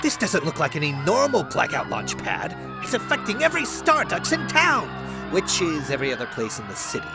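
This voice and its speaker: A man's voice: high voice, huge pitch swings, a little fast, music in the background, crowd noise, heavily acted. A man playing a pompous, grandiose masked duck hero past his prime, in an amateur cartoon comic dub. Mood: exasperated. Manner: theatrical, grandiose declamation.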